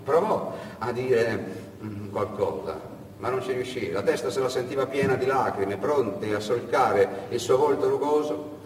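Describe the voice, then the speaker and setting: A male storyteller with a mid-pitched voice delivers a theatrical monologue, pausing and repeating phrases. He sounds distant in a reverberant hall. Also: expressive. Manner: theatrical, repetitive phrasing with pauses. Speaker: storyteller and stage actor. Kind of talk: dramatic narrated monologue. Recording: echoey hall, distant mic, background noise.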